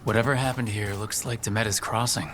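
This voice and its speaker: An actor playing a plain-spoken, steady young video game hero with a dry, understated delivery. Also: male voice, lowish voice, brisk, a little hiss or hum, close to an everyday voice. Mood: wry resolve. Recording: game music clearly behind the voice.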